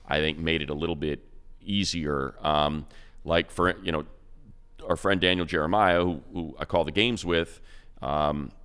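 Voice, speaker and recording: A man co-hosting a sports podcast, breaking down a player with quick, enthusiastic stats and slangy praise. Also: rumbling low, fairly even intonation, a bit bright, clean tone. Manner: fast, enthusiastic stat-heavy breakdown. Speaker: radio play-by-play announcer, co-host.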